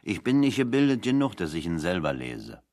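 A plain-spoken working man in exile in a radio drama. His low voice moves up and down in a lively way, folksy and full of dry humour. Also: a little fast. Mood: folksy, wry. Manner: folksy, drily humorous. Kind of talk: radio play dialogue.

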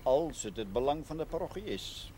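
An actor playing a learned, genial village schoolmaster, formal and gently teasing, in a television period drama. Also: male voice, a little high, very animated, moderate pace. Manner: formal, dryly witty. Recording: noisy old TV soundtrack.

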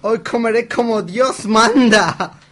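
A performer playing a washed-up, drunken male mascot living in the sewers, grumbling slowly in a comic radio soap. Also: mid-range voice, a bit bright. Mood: greedy.

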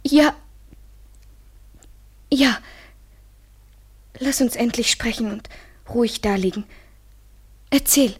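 Radio drama lover, female: highish breathy voice, slow, hesitant, fragile and anxious. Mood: yielding, intimate. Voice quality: breathy, often whispering. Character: fragile, anxious woman hopelessly in love. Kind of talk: literary German radio play acting, 1970s.

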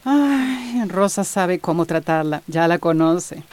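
An actress playing a patient, practical housewife with a placating manner in a radio soap opera. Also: female voice, on the low side, animated, slow, darkish tone. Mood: weary, placating.

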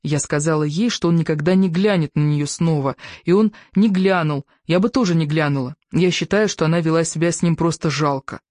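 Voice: medium pitch, brisk, hardly any pauses, a little mellow, no room sound, natural voice. A narrator voicing a gossipy, opinionated young woman who works in a hat shop, chatty and exclamatory. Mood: scornful.

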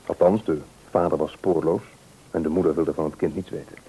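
A man's voice: sits low, fairly even intonation, fairly quick, dark tone, slightly rough, rough recording. An actor playing a hopeful, polite married man in a radio play, speaking a little hesitantly with a Netherlands Dutch accent. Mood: earnest, hesitant.

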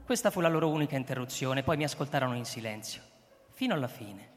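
An actor playing a reflective scientist recounting a secret mission, in measured, literary radio drama narration. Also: male voice, medium pitch, animated, close to an everyday voice. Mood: measured, intrigued. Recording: long reverb, music behind the voice.

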